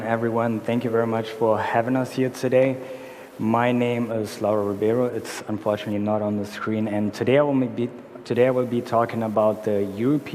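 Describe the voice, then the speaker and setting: A man's voice: medium pitch, darkish tone. A man from Brazil gives a business talk in a large conference hall. He explains a slide step by step and often restarts his phrases. The sound carries a long, roomy reverb with faint hum behind it. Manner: self-correcting, explaining slides. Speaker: conference speaker. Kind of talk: conference presentation. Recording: echoey hall with faint room noise.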